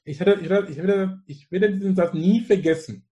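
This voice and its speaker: A man's voice: sits high, steady tone, normal speed, dark tone, dull audio. A man in his forties from Cameroon with a Cameroonian accent, speaking as an interview guest with emphatic, repetitive enthusiasm. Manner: effusive, emphatic, fond of repeating phrases. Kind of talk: conversational interview.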